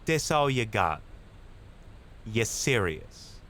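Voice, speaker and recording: A man's voice: fairly low pitch, sing-song, takes it slowly, a bit bright, slight background noise, full character voice. A man playing an impatient, threatening mugger in an audio drama, curt and menacing. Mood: irritated, threatening.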